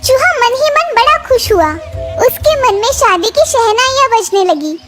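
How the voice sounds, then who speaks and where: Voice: extremely high pitch, lively intonation, moderate pace, over music. A narrator reading a scripted story for children.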